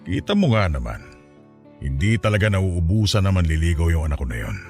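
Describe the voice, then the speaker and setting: A man's voice: sits low, sing-song, a little fast, darkish tone, faint music behind. An actor playing a stern, teasing middle-aged soldier father in a radio drama, with a Filipino accent and an authoritative, lecturing manner. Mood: amused boasting. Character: stern, teasing soldier father sizing up his daughter's suitor. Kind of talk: Tagalog radio drama acting.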